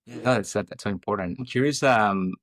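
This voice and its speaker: Man, podcast host, very low voice, dry close sound, enthusiastic, conversational. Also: fairly quick, a bit bright, dry recording. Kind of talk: conversational interview.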